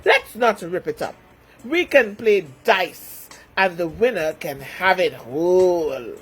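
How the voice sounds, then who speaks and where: Woman voicing a lively, offhand Roman soldier. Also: on the low side, takes it slowly, breathy edge, voice pushed down, exaggerated voice. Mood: offhand, scheming. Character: casual, greedy Roman soldier, gambling and practical.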